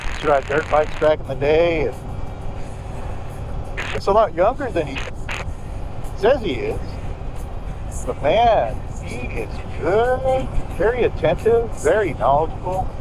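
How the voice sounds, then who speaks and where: Slow-talking man vlogging over music. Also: a little high, rough recording. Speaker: motorcycle vlogger. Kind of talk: casual vlog chat. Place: outdoors on a motorcycle ride.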